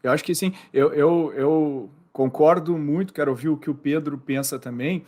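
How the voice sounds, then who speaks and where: A man's voice: a little high, normal speed, darkish tone. A Brazilian man hosting a podcast interview, talking casually and often restarting and repeating words. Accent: Brazilian Portuguese. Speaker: podcast host.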